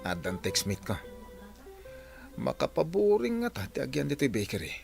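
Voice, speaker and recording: A man's voice: medium pitch, huge pitch swings, normal speed, vocal fry, darkish tone, faint music behind. A man acting as a flirtatious, scheming married man in a comedy-drama radio play.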